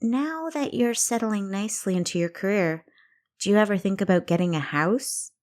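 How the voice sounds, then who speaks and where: A woman's voice: mid-range voice, normal speed, a little mellow, pitched above the performer's own voice, close to an everyday voice. A woman voicing a young female adventurer in an audiobook, an eager, stubborn younger sister with a pointed, questioning way of talking. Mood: probing.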